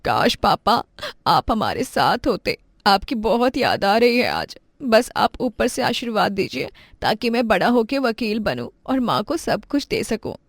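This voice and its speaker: A woman's voice: fairly high pitch, big pitch swings, a little slow, no room sound, clean studio sound, full character voice. A woman voicing a poor, selfless schoolboy in a storybook tale, polite and earnest in manner. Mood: wistful. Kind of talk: storybook character acting.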